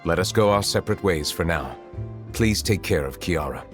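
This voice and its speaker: A man voicing a stern, composed male chief justice in a video game, speaking in a measured, formal and grave way. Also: sits low, animated. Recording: game audio with faint music behind.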